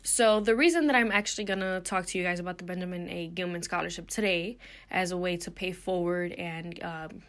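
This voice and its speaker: A woman's voice: lowish voice, a little fast. Young woman presenting scholarship slides. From Puerto Rico. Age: young adult. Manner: earnest, explanatory, frequent "um" and "uh" fillers. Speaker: student presenter.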